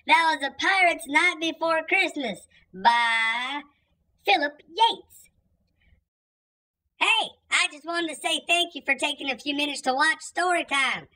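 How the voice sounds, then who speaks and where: A man voicing a goofy, excitable childlike storyteller through a pitched-up filter, reading a children's story theatrically. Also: exaggerated voice. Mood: chirpy, folksy. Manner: theatrical, drawn-out delivery. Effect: pitched-up cartoon voice filter. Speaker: children's book reader. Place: indoor room, plain wall.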